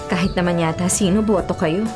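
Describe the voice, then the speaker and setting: An actress playing a guarded, bitter daughter wary of love in a Filipino radio drama, with an expressive, sassy delivery. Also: female voice, lowish voice, brisk, over music, natural voice. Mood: cheerful.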